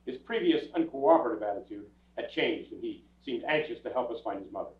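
An older man playing a calm, matter-of-fact police detective in a stage radio drama, with clipped delivery. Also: medium pitch, brisk, natural voice. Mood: matter-of-fact narration. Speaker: actor and narrator in a stage radio play. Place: small theatre stage, recorded from audience camera. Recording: muffled, echoey stage recording from far off.

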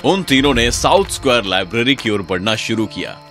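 A man narrating a cartoon horror story in a lively, dramatic way. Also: mid-range voice, fairly quick. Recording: narration over loud music.

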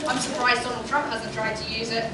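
A woman's voice: a little high, little pitch movement, brisk, natural voice. A woman playing an exasperated, cheeky young son, projecting in a broad pantomime stage style. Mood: dry, cheeky. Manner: exclamatory, projected. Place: on stage in a small theatre. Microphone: far camera from the audience. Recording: noisy, echoey theatre hall from far off.

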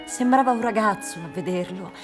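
A woman's voice: mid-range voice, a little fast. A woman in her thirties giving scripted literary narration for a radio drama. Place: theatre stage set up for radio recording. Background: music.

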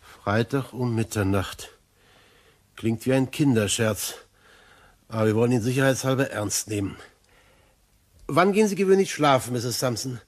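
A man acts out a police inspector in a crime radio play. His voice is somewhat husky, and he questions and instructs in a dry, matter-of-fact, authoritative way. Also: mid-range voice, moderate pace, pauses often, natural voice. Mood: probing, dry. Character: brisk, probing, dry Scotland Yard police inspector.

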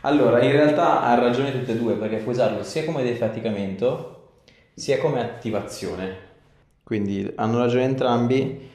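A man's voice: mid-range voice, moderate pace, a little mellow, echoey room. A man in his thirties, a chiropractor chatting informally and reassuringly with a patient in a small treatment room. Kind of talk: casual chat with a patient during treatment.

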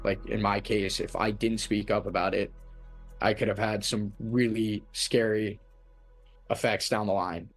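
A man in his twenties, a college athlete, talking in a relaxed, plain-spoken way with many "like" fillers. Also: on the low side, unhurried, faint music behind. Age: 20s. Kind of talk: casual interview.

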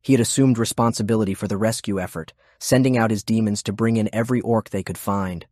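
A man's voice: on the low side, steady tone, fast talker, a little mellow, no room sound, clean studio sound. A man reading scripted, dramatic narration as an audiobook narrator.

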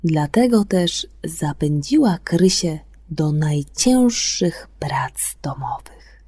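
A woman hosting a children's bedtime radio show, presenting and telling stories in an expressive way for young listeners. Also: sits low, slightly rough, no room sound, studio quality. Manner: expressive, drawn-out delivery for children. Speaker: radio host and storyteller. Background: music.

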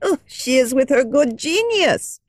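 A gentle, simple old lady with a high, lilting voice that rises and falls with mild amusement, as a woman narrator puts her on. She confides calmly and fondly, slow and unhurried, recorded dry and close. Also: no echo. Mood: fond, confiding. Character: simple, fond old woman, calm and cheerful.

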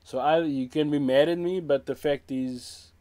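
A man in his thirties with a Cape Town South African accent and a voice pitched a little high for a man. He talks bluntly and casually, mixing in Afrikaans phrases. He is recorded in a small room with an off-camera mic. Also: fairly even intonation, moderate pace, mellow, slight background noise. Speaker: music reaction host. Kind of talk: casual reaction commentary. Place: small indoor room with a brick wall.

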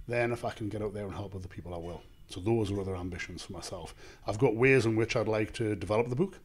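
A man in his thirties with a Northern English accent, a gym owner and author answering podcast questions in a measured, confident way, speaking into a handheld mic in a small office studio. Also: on the low side, a little mellow. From England. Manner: measured, confident, explains in lists. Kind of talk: casual interview answers. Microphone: handheld microphone.

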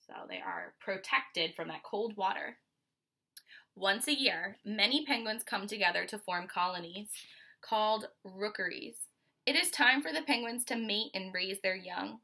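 A woman in her twenties with an American accent reads a children's picture book aloud like a teacher, pausing to explain and ask questions. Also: mid-range voice, expressive, pushed and bright. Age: 20s. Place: small furnished room at home, indoors.

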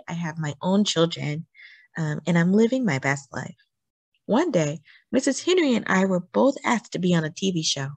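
A woman reading a children's book aloud in an expressive storytelling style, with deliberate phrasing and emphasis. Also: lowish voice, no room sound.

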